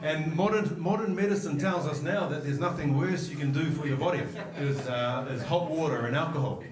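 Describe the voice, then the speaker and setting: A man in his forties with a New Zealand accent, a podcast guest recalling his career in a measured way, with frequent pauses and fillers. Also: on the high side, reverberant, recorded from a distance. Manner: reflective, measured storytelling with many fillers. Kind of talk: podcast interview.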